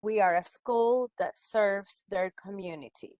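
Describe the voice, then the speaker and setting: Female educator, native Spanish speaker, webinar delivery with emphasis on key words, medium pitch, dry recording. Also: a little slow, a little mellow, no room sound. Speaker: dual language school educator presenting. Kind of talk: webinar presentation.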